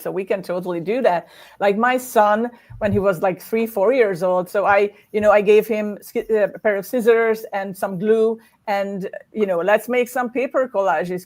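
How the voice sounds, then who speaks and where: A woman from Hungary, talking as a guest artist on a video call in a warm, chatty way with laughs between her words. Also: mid-range voice. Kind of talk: casual interview talk.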